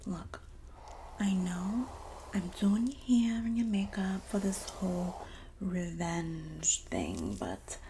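A woman playing a sassy, supportive Jersey girl in an ASMR roleplay, speaking softly and often whispering in a breathy, doting, gossipy way with a New Jersey accent. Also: mid-range voice, slight background noise. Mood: protective. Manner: slow, gossipy, doting, dramatic emphasis.

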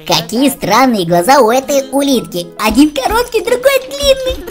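High, swooping childlike woman. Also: a little slow. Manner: childlike, animated, exclamatory. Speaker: let's play co-host. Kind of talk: children's game commentary. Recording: music behind the voice. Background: music.

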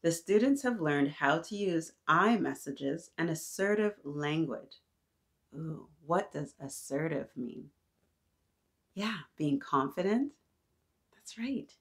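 A woman in her thirties reading aloud to young children, animated and encouraging, often addressing them directly with questions. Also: lowish voice. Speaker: children's story-time host. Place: small decorated indoor room.

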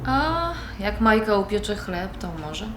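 A woman's voice: mid-range voice, expressive, slow pace. A woman playing an adult granddaughter with a dry, conversational manner in a naturalistic audio drama. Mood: casual. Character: Agnieszka, adult granddaughter, dry, easily irritated. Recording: very roomy, faint background noise.

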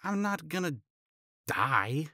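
A man playing a tender, teasing older boyfriend in an intimate romance ASMR roleplay, murmuring in a halting, playful way. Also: a little high, big pitch swings, pushed and bright, dry recording, clean studio sound, exaggerated voice. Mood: playful exasperation. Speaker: audio roleplay voice actor.

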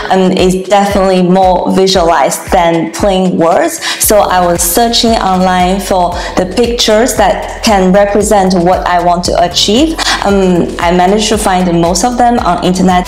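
A woman in her thirties explaining her home renovation plan to the camera, talking steadily and filling her pauses with "um". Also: medium pitch. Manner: steady and explanatory, frequent "um" fillers. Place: bright home kitchen, indoors. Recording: echoey room with faint music behind.

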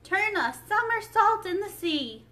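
A woman's voice: high voice, expressive, unhurried, sharp tone, voice pushed up, heavily acted. Woman as a solemn, emotional storybook Mock Turtle. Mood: excited. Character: melancholy, tearful old-fashioned Mock Turtle, solemn yet excitable. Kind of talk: read-aloud storybook character.

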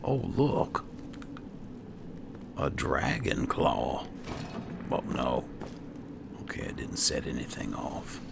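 A man's voice: a bass voice, big pitch swings, slow, pauses often. A man muttering to himself as he gives casual commentary on a game he is playing. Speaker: gamer playing a roleplay series. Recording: clear game ambience noise behind voice.

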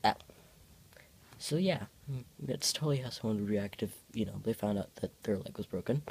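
A male host reading his own homemade comics in a casual story-time vlog, halting, informal and self-deprecating, recorded on a handheld phone. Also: lowish voice, gravelly, a little mellow, husky.

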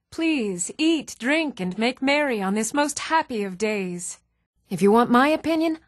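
An actress playing an even-toned, earnest young townswoman in a fantasy video game, with measured delivery. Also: mid-range voice, dry recording, studio quality, lightly acted. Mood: snide. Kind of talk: video game character voice lines.

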